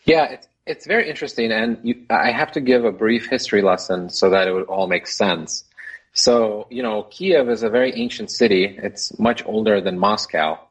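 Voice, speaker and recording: A man's voice: fairly low pitch, normal speed, slightly rough, rough recording. A man from Ukraine, a Russian speaker, explaining things earnestly as an interview guest. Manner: earnest, explanatory, hesitant with fillers. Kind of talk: radio interview answers.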